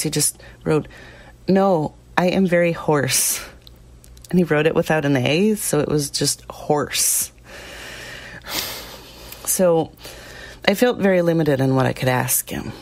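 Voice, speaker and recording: An actress playing a plain-spoken, guilt-ridden small-town woman giving halting, confessional testimony in an audio drama. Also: deep voice, a little mellow. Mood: troubled, halting.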